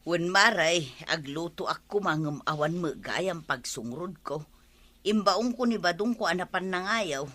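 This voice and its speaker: A woman's voice: on the low side, unhurried, fairly bright tone. A woman playing a thrifty, nagging but affectionate village wife in a comedic radio drama, scolding in colloquial exclamations. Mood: complaining.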